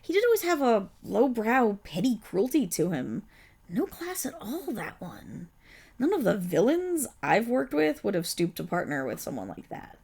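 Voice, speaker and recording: A woman playing a silky, gravelly villain boss. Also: on the high side, darkish tone, full character voice. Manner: silky, condescending, purring endearments. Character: suave, scheming villain boss, possessive and protective. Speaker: voice actor. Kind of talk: ASMR roleplay audio drama.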